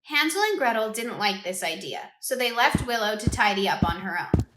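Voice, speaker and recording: A woman reading a picture book aloud to children in an expressive way, stopping to ask them questions. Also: medium pitch, normal speed, background noise. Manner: expressive, asks listeners questions. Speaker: read-aloud host for children. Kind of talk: children's storybook read-aloud. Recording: roomy echo.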